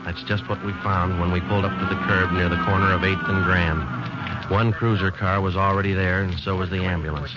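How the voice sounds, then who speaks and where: A man voicing a terse police sergeant narrating an old-time radio case, clipped and flat in a low voice. The broadcast is dull and lo-fi, with loud music behind. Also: brisk, close to an everyday voice. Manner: clipped, flat, matter-of-fact. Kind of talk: 1940s radio drama.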